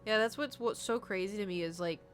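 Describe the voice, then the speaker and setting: A woman's voice: medium pitch, a little fast. A woman from the United States, a gaming streamer rambling in casual chat and answering her chat. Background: background music.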